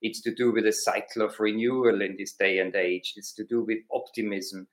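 A middle-aged man with an Austrian accent speaks in a lowish voice. He talks as a podcast guest, thoughtful and passionate, with plenty of ums and uhs as he builds his ideas. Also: steady tone, moderate pace. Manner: passionate, reflective, with frequent fillers. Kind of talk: conversational interview.

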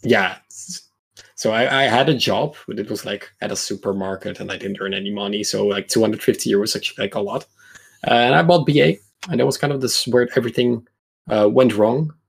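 A man in his mid-twenties with a fairly low voice and plenty of fry. His tone is husky, and he tells anecdotes in a loose, rambling conversational style, often breaking off mid-thought. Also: fairly quick. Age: mid-20s. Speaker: podcast guest, competitive card game player. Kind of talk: casual chat, personal anecdotes.

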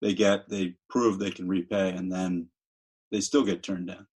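A man's voice: low-pitched, little pitch movement, measured pace, a little mellow, slightly rough. A man hosting the discussion, summing up his guest's work back to her. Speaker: host.

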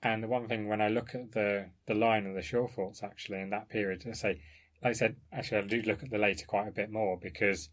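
Male researcher, lowish pitch, informal scholarly conversation, discursive and explanatory with careful qualifications. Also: fairly even intonation, fast talker.

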